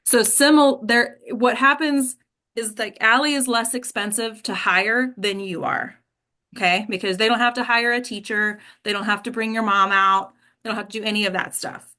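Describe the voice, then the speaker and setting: A woman's voice: a little high, steady tone, normal speed, bright, forceful tone. An American woman giving casual, direct coaching advice as a host, often checking in with the listener as she goes. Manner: direct, emphatic, checks in with "right?" and "okay". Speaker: host and acting coach leading an online discussion.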